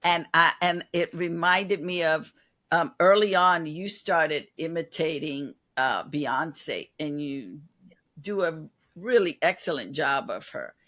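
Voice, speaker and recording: An interviewer with a bright, forward voice asks questions slowly and deliberately. The delivery is calm and measured. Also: medium pitch. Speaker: interview host.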